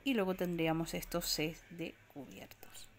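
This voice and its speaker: A woman from walking through a supermarket aisle, filming on a handheld phone and reading out prices and sizes in a steady listing way. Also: on the low side, moderate pace, slight background noise. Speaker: shopping vlogger. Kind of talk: walk-through product rundown. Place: indoor discount supermarket aisle. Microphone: handheld phone camera, filming while browsing.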